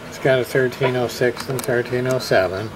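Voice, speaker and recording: A man giving a casual hands-on repair demonstration, thinking aloud in a hesitant, halting way as he goes. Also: mid-range voice. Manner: hesitant, halting, muttering to himself. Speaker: hobbyist radio repair presenter. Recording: clear background room noise.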